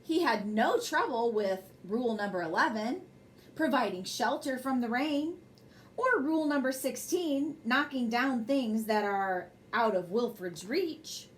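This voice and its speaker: Lady reading a kids bedtime book, takes it slow and expressive, bit of background noise. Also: fairly high pitch. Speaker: storyteller reading a children's picture book. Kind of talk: bedtime story read aloud.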